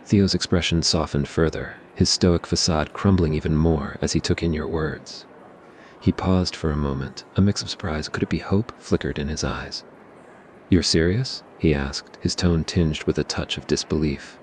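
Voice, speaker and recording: A man narrating a scripted ASMR roleplay story in a measured, even way. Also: very deep voice, slight background noise.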